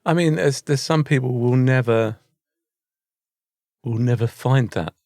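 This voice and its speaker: A man's voice: mid-range voice, a little slow, darkish tone, dry recording, clean studio sound. British man in casual podcast chat. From Britain. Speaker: podcast co-host.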